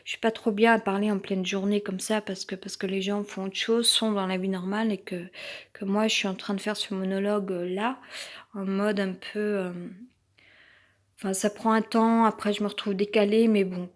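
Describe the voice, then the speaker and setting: A woman's voice: mid-range voice, little pitch movement, normal speed. A woman with a French accent who has a cold, giving a rambling personal monologue as a vlogger, tense and hesitant, with many fillers and restarts.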